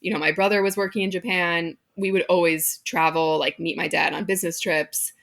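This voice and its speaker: Guest: woman in her thirties from Hong Kong, low voice with a gravelly edge, candid and matter-of-fact. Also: steady tone, fairly quick, a bit bright. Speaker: podcast guest, mother of twins. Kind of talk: casual interview chat.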